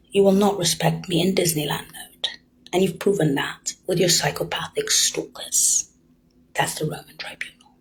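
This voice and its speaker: Very husky low-voiced older woman. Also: rough recording. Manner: lurches between hushed whispers and loud emphatic bursts; accusatory, addresses listeners directly. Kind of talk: rambling monologue to camera.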